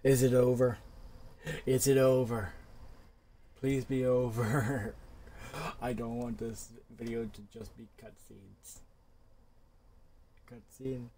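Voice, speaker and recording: A man's voice: medium pitch, steady tone, slow, long pauses, mellow. A man doing casual gaming commentary, grumbling impatiently and repeating himself. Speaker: gaming video creator.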